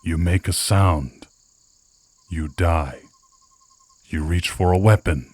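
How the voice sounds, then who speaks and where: A man playing a gruff, predatory werewolf scout in an ASMR roleplay, with a threatening, taunting delivery. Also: low-pitched, slow, a little mellow, dry recording. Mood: menacing.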